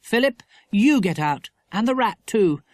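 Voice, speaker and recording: A woman voicing a sensible, practical mother in a storybook, explaining matter-of-factly and gently firm. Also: on the low side, very animated, brisk, dry recording, pitched above the performer's own voice.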